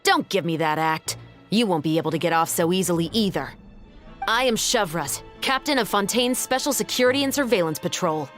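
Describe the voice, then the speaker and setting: A woman's voice: lowish voice, expressive, fairly quick, soft background music, close to an everyday voice. A woman playing a stern, composed young police captain in a video game, commanding, clipped and matter-of-fact. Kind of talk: video game character dialogue.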